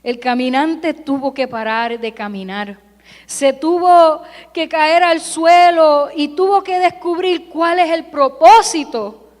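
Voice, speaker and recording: A woman who grew up in California delivers a Sunday sermon from the pulpit. Her voice sits high, and she takes her time, stacking emphatic parallel phrases one after another. The sound carries a long reverb from the church sanctuary. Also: slow pace. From California, United States. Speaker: preacher.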